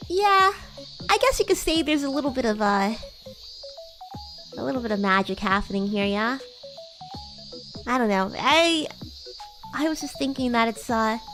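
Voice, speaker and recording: A woman voicing a bratty, sweet young demon girl in casual roleplay chat, with hesitant, girlish delivery. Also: on the high side. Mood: bashful, sincere. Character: bratty, sweet young female demon classmate from another world. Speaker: VTuber streamer.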